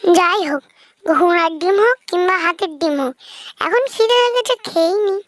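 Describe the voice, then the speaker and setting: A performer voicing a mischievous, always hungry trickster fox with a childlike, squeaky, singsong delivery in a children's fairy-tale cartoon. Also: expressive, normal speed, a bit bright, dry recording, clean studio sound. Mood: eager, amazed. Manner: singsong, repeats a nonsense rhyming catchphrase.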